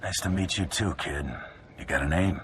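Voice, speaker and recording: An actor playing a gruff, cocky, seasoned bounty hunter in a video game, wry and unhurried in manner. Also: male voice, low-pitched, soft background music, close to an everyday voice. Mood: cool, sardonic. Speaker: player character in a video game. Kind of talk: video game cutscene acting.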